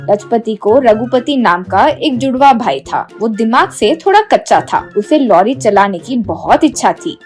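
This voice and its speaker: ऊँचे सुर में कहानी सुनाती महिला. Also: female voice, a little high, lively intonation, measured pace, few pauses, darkish tone, faint music behind. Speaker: storyteller. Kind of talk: scripted story narration.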